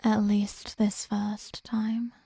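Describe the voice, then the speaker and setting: Female vampire played by a woman, dominant and sadistic, ASMR roleplay. Slightly high but restrained pitch, close dry sound, teasing half-whispered commands. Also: level tone, very slow, creaky, warm tone, breathy edge, no room sound, heavily acted. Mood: teasing, predatory.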